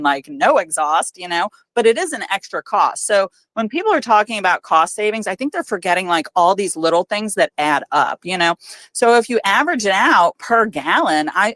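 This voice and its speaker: A woman's voice: mid-range voice, a bit bright, no room sound. An American woman in a casual chat, talking freely with lots of "you know" fillers. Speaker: guest speaker.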